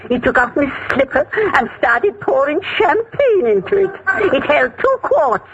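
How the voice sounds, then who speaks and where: An older Irish woman gushes in a high, animated voice. She is vain, sentimental and theatrical. It is old radio comedy with loud noise over it. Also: heavily acted. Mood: wistful, sentimental. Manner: gushing, theatrical, quick to bristle. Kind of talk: 1940s radio comedy acting. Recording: very noisy, muffled 1940s radio recording.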